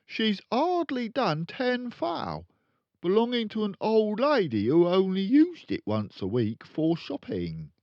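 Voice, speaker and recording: A man voicing a crooked, boastful, bullying middle-aged father in a children's storybook, loud and emphatic. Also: sits high, huge pitch swings, slow, dry recording, muffled recording, pitched above the performer's own voice, exaggerated voice. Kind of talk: children's storybook read aloud.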